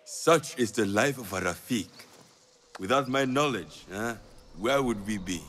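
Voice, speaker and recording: An actor playing a shrewd, commanding spymaster and mentor, with weighty, deliberate phrasing in dramatic game dialogue. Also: male voice, on the low side, expressive, fairly bright tone, a little hiss or hum. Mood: wry, attentive. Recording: music behind the voice.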